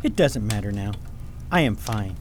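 A man's voice: lowish voice, expressive, normal speed, a little hiss or hum, close to an everyday voice. A man playing a stubborn, serious boy prince in a fantasy radio drama, speaking tersely and earnestly. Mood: guarded.

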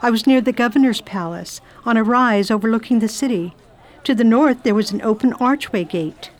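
A woman's voice: on the high side, normal speed, lightly acted. A woman playing a genteel, inquisitive lady traveller who acts and narrates in a radio drama. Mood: reflective narrating. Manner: polite, inquisitive, exclamatory. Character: genteel, curious well-off English lady traveller. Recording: faint background noise, ambience.

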